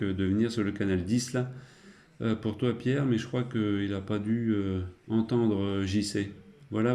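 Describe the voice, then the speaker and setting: A man's voice: on the low side, dark tone. A man chatting casually as a radio operator, in a rambling, hesitant way. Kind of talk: casual radio contact chat.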